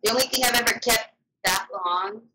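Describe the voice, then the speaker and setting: Bright raspy woman in her thirties, chatting playfully. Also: a little high, normal speed, rough recording. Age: 30s. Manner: playful, exclamatory. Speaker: guest on a live stream. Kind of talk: casual chat.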